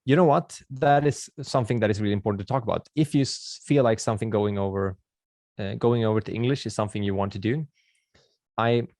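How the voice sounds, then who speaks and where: A low-pitched man with a Swedish accent and a gravelly edge, talking in a friendly, casual way as he answers questions in a webinar. He restarts sentences and uses filler words as he goes. The recording is close and dry, with almost no room sound. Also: fast talker, darkish tone, no room sound. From Sweden. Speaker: videographer hosting a webinar.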